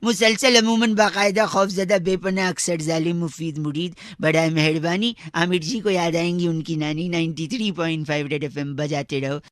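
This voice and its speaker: A man's voice: fairly high pitch, fairly even intonation, normal speed, few pauses, a bit bright. A man speaking as a radio prank caller, in a flowery, over-polite and pompous mock-formal manner. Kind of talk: comic prank call in mock-formal speech.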